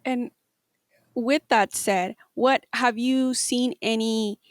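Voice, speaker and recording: A woman's voice: fairly high pitch, steady tone, takes it slowly, no echo, studio quality. A woman hosting a podcast, asking hesitant interview questions with fillers. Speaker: podcast host.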